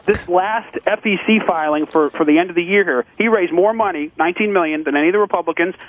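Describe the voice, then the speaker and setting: Man, radio caller, high voice, rapid, insistent, stammering starts, narrow muffled phone sound. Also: dry recording. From United States, Connecticut. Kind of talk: earnest, casual political argument. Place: on a phone call to a radio show. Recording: phone line into radio studio.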